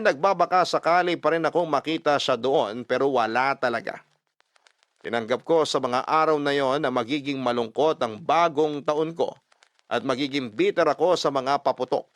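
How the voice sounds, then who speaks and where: A man narrating a first-person love story dramatically, expressive and emphatic, mixing Tagalog and English. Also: medium pitch, no echo. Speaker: storyteller reading a listener's letter.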